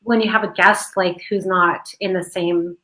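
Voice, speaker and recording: A woman hosting an online radio interview, chatty and quick, laughing often. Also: fairly low pitch, fairly even intonation, a bit bright, low-quality recording. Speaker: host of an online radio show. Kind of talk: casual chat.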